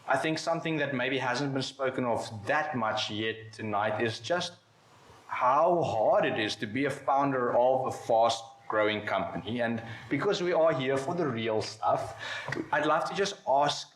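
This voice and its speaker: A man's voice: mid-range voice, fairly bright tone, slight background noise. A man moderating a conference panel in a casual, chatty way. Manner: chatty, many fillers. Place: conference venue. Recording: echoey event room.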